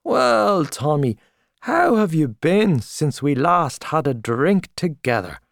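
A man voicing a boastful, hearty Irish journalist, talking loudly and expansively over drinks. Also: on the high side, sing-song, slow pace, creaky, no room sound, studio quality, pitched above the performer's own voice, full character voice. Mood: boisterous. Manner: loud, expansive, back-slapping.

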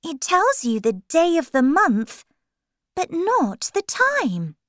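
A woman's voice: extremely high pitch, huge pitch swings, slow, a bit bright, dry recording, clean studio sound, voice pushed up, full character voice. A woman voicing a polite but indignant young girl in a children's story, with careful, spaced-out words. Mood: puzzled. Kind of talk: slow children's storybook character voice.

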